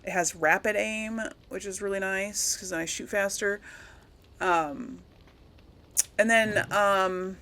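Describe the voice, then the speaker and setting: A woman's voice: mid-range voice, slow, sharp tone. A woman doing casual game commentary, rambling and thinking aloud as she talks to herself. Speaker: gaming video host.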